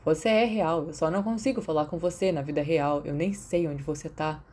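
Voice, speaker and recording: A woman's voice: low-pitched, brisk, dark tone. A Brazilian woman voicing an anxious, lovestruck teenage schoolboy who confides sheepishly in audiobook dialogue. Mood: wistful.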